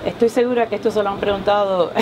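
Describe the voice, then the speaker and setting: A woman co-hosting an interview and translating between Spanish and English, quick and friendly. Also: medium pitch, steady tone, background noise. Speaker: bilingual co-host and interpreter. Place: behind-the-scenes area at a fan convention, indoors.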